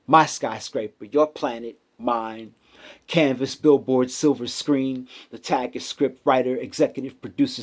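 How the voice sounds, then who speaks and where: Man reciting rhythmic spoken word poetry. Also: medium pitch. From United States city. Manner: rhythmic, emphatic delivery with deliberate pauses.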